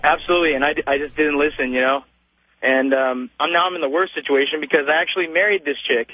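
A man's voice: a little high, steady tone, quick pace, no echo. A man calling a radio show, chatting in a casual, slangy, informal way over the phone. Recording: tinny phone line on radio.

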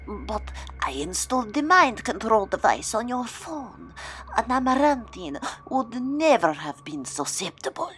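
Audio drama role: actress as defeated female scientist, high and swooping, halting, pleading. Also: big pitch swings, fairly bright tone, slight background noise. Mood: disbelieving. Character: Katya, anguished, defeated female military scientist.

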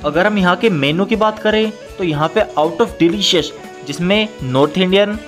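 A man's voice: high voice, moderate pace. A man reading scripted promotional narration as a vlog voice-over. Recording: voice-over with faint guitar music.

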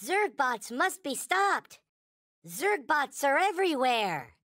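A man voicing a small, squeaky, childlike toy alien in a video game, earnest and worshipful, with stilted, formal phrasing. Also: big pitch swings, slow pace, sharp tone, no echo, studio quality, heavily acted. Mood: alarmed. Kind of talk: video game character voice lines.

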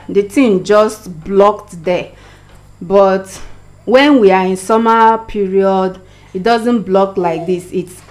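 Slow-talking Nigerian woman cooking at home. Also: medium pitch, a little mellow. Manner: chatty, talks to viewers as she works. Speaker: cooking vlogger. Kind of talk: casual cooking demonstration. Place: home kitchen, indoors. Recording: echoey kitchen with clear background noise.

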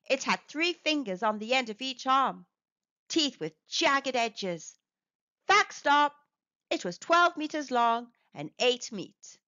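A woman reading a scripted children's book aloud, with deliberate, emphatic articulation for young listeners. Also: fairly high pitch, bright, forceful tone, no room sound, lo-fi sound. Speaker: children's storybook reader.

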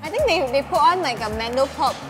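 A woman's voice: sits high, animated, fast. A young woman with a Singaporean accent, hosting a food review in a casual, chatty style. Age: young adult. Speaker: food show host. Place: indoors at restaurant tables. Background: music.

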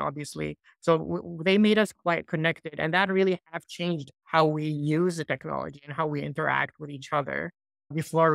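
A man in his forties from Iraq, a software founder, answering interview questions on a laptop video call in a small room, thoughtful and loose with restarts. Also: on the high side, gravelly, no echo. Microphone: laptop mic on a video call.

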